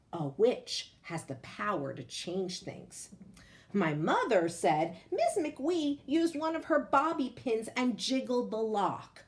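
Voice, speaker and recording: A high-voiced woman reads a storybook to children. She takes her time, phrasing each line deliberately and with emphasis. Her pitch moves in lively swings as she tells the tale. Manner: slow, deliberate, emphatic phrasing for young listeners. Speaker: teacher reading a picture book aloud. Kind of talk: expressive children's storybook read-aloud.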